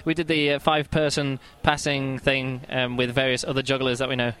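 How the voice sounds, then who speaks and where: A man with a croaky, worn voice, a street performer chatting casually and rambling through stories for a podcast. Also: medium pitch, fairly quick. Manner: chatty, rambling, lively storytelling. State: hoarse, croaky voice. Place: outdoors at a festival, later a hotel room.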